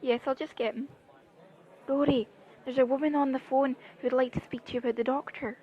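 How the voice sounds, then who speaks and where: A woman playing a wry, lively young wife on the phone in a fan audio drama, with a dull lo-fi sound. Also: sits high, brisk, close to an everyday voice.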